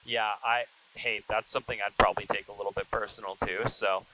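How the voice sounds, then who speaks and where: Lowish-voiced male host over noticeable noise. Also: flat delivery. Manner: halting, rambling, many repeated false starts. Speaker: podcast host, interviewer. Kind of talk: casual chat interview.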